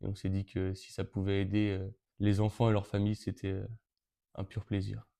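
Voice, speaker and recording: A man's voice: low-pitched, completely flat delivery, fairly quick, vocal fry, warm tone. A young man of nineteen from Brittany, answering interview questions with frequent hesitations and restarts. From Brittany, France. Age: teens. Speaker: interviewee, event co-organizer.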